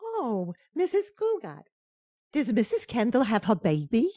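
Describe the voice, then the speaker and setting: Lively sitcom maid, acted by a woman. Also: a little high, unhurried, dry recording, studio quality, exaggerated voice. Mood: matter-of-fact, puzzled. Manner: polite, comic servant delivery. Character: deferential yet plain-spoken household maid in a sitcom. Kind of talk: 1940s radio sitcom acting.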